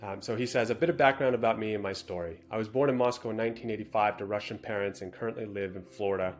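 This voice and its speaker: A man's voice: lowish voice, fast. A man co-hosting a casual talk show, reading out a viewer's letter and adding dry, matter-of-fact comments between passages. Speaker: co-host.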